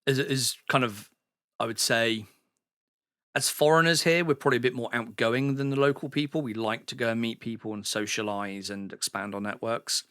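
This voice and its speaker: Bright-voiced man from the UK. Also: medium pitch, normal speed, smooth, no echo, studio quality. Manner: rambling, with many fillers and restarts. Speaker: podcast guest. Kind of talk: casual chat. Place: sauna house.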